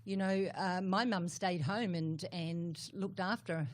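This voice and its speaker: A woman's voice: on the low side, fairly even intonation, slow pace. A middle-aged woman with a New Zealand accent, talking casually as an interview guest, full of fillers and repeated words.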